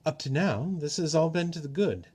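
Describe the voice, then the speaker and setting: A man voicing a roguish, grand trickster god from a storybook, with a formal, measured and persuasive manner. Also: fairly high pitch, animated, darkish tone, breathy edge, pitched above the performer's own voice. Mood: earnest. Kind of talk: storybook character reading.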